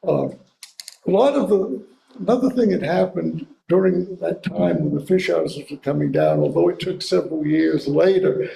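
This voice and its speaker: A man's voice: on the high side, normal speed, gravelly, dark tone. A man giving a local history talk and answering audience questions, thoughtful and hedging as he speaks. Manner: thoughtful, hedging, restarts phrases. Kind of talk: lecture and audience Q&A. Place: library meeting room. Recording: echoey room, faint hiss.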